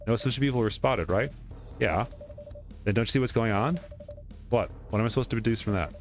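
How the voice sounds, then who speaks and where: A man's voice: mid-range voice, fast, soft background music. A man reading video game dialogue aloud as he plays, stumbling now and then and correcting himself. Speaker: let's play streamer.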